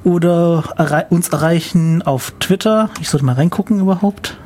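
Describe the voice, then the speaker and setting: A podcast co-host in casual chat, asking curious questions and interjecting quickly. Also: mid-range voice, darkish tone, a little hiss or hum.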